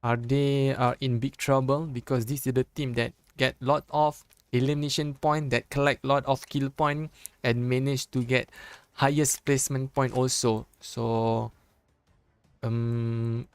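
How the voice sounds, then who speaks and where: A young man from Malaysia with a Malaysian accent, a Malay native speaker casting a live mobile esports match in English, chatty and joking, with halting phrasing and frequent restarts. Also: medium pitch, clean tone, no echo. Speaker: esports caster. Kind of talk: live esports game commentary.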